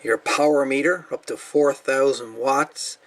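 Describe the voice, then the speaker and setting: A man's voice: medium pitch, steady tone, unhurried. A man hosting a casual hands-on review and repair video, talking in a folksy, colloquial way. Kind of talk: casual hands-on demonstration talk. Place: indoor workbench.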